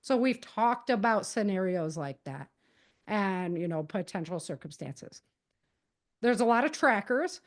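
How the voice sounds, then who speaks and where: A woman's voice: mid-range voice, lively intonation, measured pace. A middle-aged woman speaking as an interview guest, giving conversational advice emphatically, repeating key points and acting out example dialogue. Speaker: podcast guest, author and self-defense advocate.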